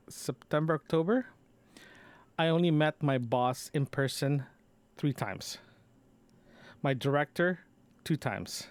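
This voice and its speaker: A man recording a casual audio diary, talking in a rambling, conversational way and often correcting himself as he goes. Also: medium pitch. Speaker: podcast host.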